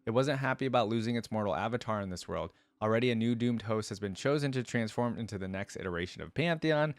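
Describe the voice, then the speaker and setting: A man's voice: on the low side, animated, fast, no echo. A man voicing a proud, vengeful celestial dragon in grandiose, florid storybook narration. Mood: defiant. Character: proud, vengeful celestial star-forging dragon held captive.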